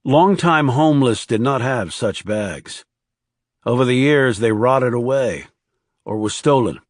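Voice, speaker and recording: A man's voice: medium pitch, expressive, unhurried, no echo, clean studio sound. A man reading scripted thriller narration as an audiobook narrator.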